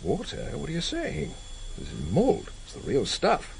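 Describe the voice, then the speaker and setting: An actor in a vintage radio drama playing a cheeky, probing British intelligence agent, dry and teasing, with a British accent. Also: male voice, a little high, wildly swooping pitch, a little slow, vocal fry, full character voice. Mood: wry, teasing. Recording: slightly noisy vintage radio recording.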